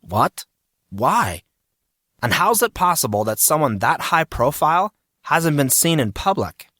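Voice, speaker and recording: A man voicing an excitable, teasing teenage boy in an audiobook story, jokey and quick to get indignant. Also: fairly high pitch, no echo, clean studio sound, pitched above the performer's own voice, heavily acted. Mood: puzzled, curious.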